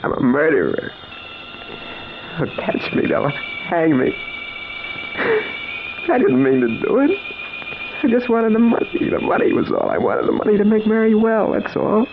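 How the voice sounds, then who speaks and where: An actor playing a desperate, guilt-ridden American husband in an old radio melodrama, anguished and halting. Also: male voice, high-pitched, huge pitch swings, gravelly, full character voice. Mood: frantic despair. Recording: hissy, dull vintage radio recording.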